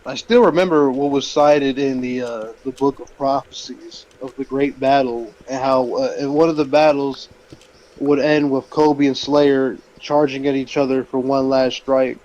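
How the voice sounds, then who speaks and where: A man's voice: mid-range voice, fairly even intonation, measured pace, darkish tone, muffled recording. A man in casual banter during a video game, slipping into mock-prophetic storytelling. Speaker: gamer in a group let's play.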